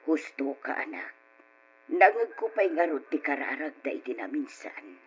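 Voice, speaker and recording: An actor playing an affectionate mother of a grown son in an old radio drama, unhurried and emotive, with an Ilocano accent. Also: mid-range voice, big pitch swings, husky. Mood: tender, serious. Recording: dull lo-fi radio recording with music.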